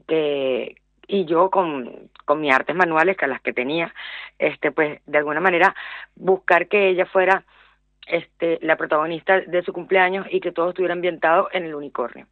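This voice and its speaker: A woman's voice: low-pitched, no room sound. A middle-aged woman with a Venezuelan accent, a radio interview guest who talks hesitantly with many fillers and repeated words. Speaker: guest, small business owner. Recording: dry, tinny phone line.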